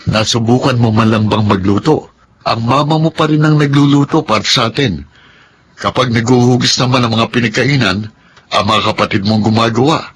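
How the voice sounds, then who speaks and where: An actor playing a frustrated young husband of thirty who complains in an exasperated way. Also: male voice, mid-range voice, normal speed, fairly bright tone, a little hiss or hum, lightly acted. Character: young husband Nathan, 30, frustrated, wants independence. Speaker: husband in radio drama. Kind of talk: Filipino radio drama acting.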